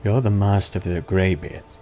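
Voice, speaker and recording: A man's voice: sits low, steady tone, moderate pace, mellow, soft background music. A man reading a game hero's questions aloud as he plays. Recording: faint background noise.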